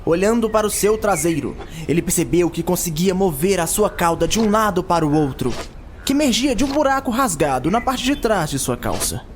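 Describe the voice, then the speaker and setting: A man narrates a fantasy audio drama in a dramatic storytelling style. His voice sits high for a man and moves widely in pitch, with clear music underneath. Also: animated, brisk. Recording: loud music behind the narration.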